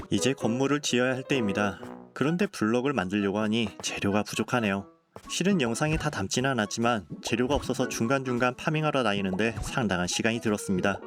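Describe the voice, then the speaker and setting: Calm man narrating over bright music. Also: mid-range voice. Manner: calm, polite explanatory tone. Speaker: game building vlogger. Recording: voice-over with clear background music.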